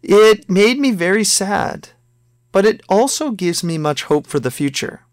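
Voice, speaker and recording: A man reading a scripted listening exam, both the dialogue lines and the numbered questions, in a slow, careful textbook manner. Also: high voice, sing-song, no room sound, clean studio sound. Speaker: listening test reader.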